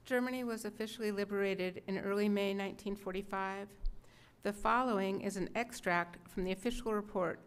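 A woman's voice: medium pitch, steady tone, fairly quick, smooth. A middle-aged woman reading scripted historical narration at a podium microphone. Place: museum gallery, indoors.

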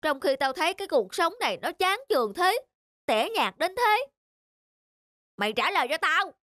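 An actor voicing a bad-tempered, lonely bully of a fox in a cartoon, grumbling and threatening in drawn-out complaints. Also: extremely high pitch, sing-song, bright, forceful tone, no room sound, studio quality, exaggerated voice. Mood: menacing, suspicious. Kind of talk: cartoon dub acting. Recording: music under the voice.

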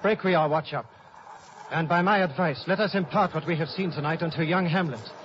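An actor playing an earnest, loyal scholar in measured, solemn 1950s radio Shakespeare. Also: male voice, on the high side, fairly bright tone, slight background noise, close to an everyday voice. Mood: awed. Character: Horatio, earnest loyal scholar recounting a tragedy. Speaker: narrator. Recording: muffled 1950s radio broadcast.

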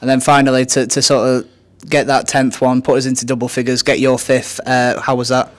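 Male sports interviewer, medium pitch, asking conversational post-match questions for a football club. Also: level tone, brisk. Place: indoors, off camera.

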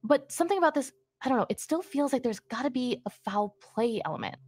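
A woman's voice: high voice, lively intonation, fast, a little mellow, breathy edge, no room sound. A woman co-hosting a true crime podcast, reacting and asking questions. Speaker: podcast co-host.

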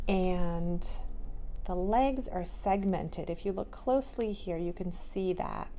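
A woman's voice: fairly low pitch, dark tone, slight background noise. A woman preschool teacher giving a read-aloud lesson for young children, with careful, simple, questioning delivery.

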